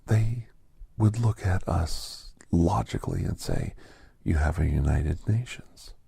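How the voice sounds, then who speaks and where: A man playing a genial older doctor with a secret past, telling folksy ASMR stories in an unhurried, breathy, gravelly half-whisper. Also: low-pitched, warm tone, dry recording, full character voice. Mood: confiding, reminiscing. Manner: unhurried, folksy, halting, conversational. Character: genial old doctor, a retired secret government scientist, reminiscing. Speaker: storytelling podcast host in character. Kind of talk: ASMR storytelling, soft-spoken roleplay.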